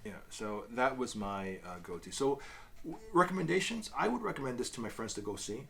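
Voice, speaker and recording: A man hosting a casual review chat, speaking haltingly and often repeating and correcting himself. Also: mid-range voice, big pitch swings, vocal fry, fairly bright tone, slight background noise. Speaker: host of a review show.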